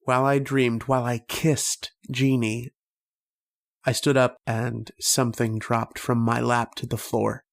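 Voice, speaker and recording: An actor playing a lovestruck, haunted young man who tells of his dreams in a slow, wistful, literary style of old-time radio drama. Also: medium pitch, level tone, vocal fry. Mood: somber. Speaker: actor and narrator in a horror radio play.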